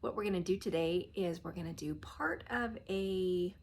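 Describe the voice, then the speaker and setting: A middle-aged woman with a nasal voice from a cold, chatting casually to camera in her living room, trailing off softly at the ends of phrases. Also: on the low side, moderate pace, husky. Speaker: reseller vlogger. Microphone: phone or camera close at arm's length.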